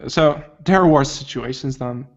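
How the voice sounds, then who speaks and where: A man's voice: mid-range voice, normal speed, dull audio. A man co-hosting a casual chat, laid-back and encouraging.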